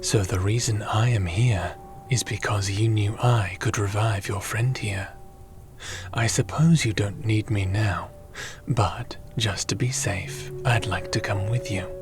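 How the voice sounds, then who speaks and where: A man playing a gentle, formal, curious fantasy companion in an ASMR audio roleplay, with a calm, measured and politely wry manner. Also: on the low side, music in the background, close to an everyday voice.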